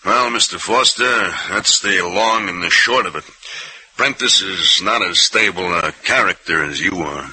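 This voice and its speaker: An actor playing a dry, unruffled police detective, matter-of-fact, in an old-time radio drama. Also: male voice, low-pitched, a little slow, bright, forceful tone, full character voice, low-quality recording. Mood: cool, insinuating.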